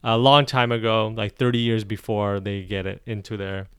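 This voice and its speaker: A man co-hosting a casual podcast chat. He recounts things in a halting way, with many restarts. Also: on the low side, flat delivery, fairly bright tone, clean tone, dry recording, clean studio sound. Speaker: podcast co-host.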